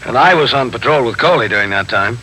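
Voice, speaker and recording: An actor playing a stern, methodical police captain in a 1950s radio drama, speaking in a measured, official and probing way. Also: male voice, mid-range voice, animated, sharp tone. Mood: probing, businesslike. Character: police captain narrating and questioning his men, stern, methodical. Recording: faintly noisy 1950s radio broadcast.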